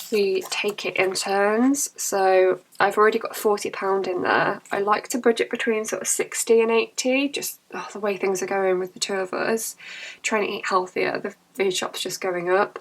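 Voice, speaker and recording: A young woman chatting casually to camera about her budget, her voice croaky and cracking from a cold, with chatty, apologetic asides. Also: medium pitch, a bit bright, slight background noise, rough recording. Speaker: budgeting vlogger.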